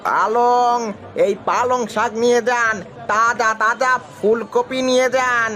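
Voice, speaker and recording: Cartoon village farmer, boastful rival type, high-pitched male performer. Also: steady tone, takes it slowly, bright, forceful tone, a faint crowd, exaggerated voice. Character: boastful village farmer Ganesh, competitive and taunting. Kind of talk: Bengali animated moral tale dialogue.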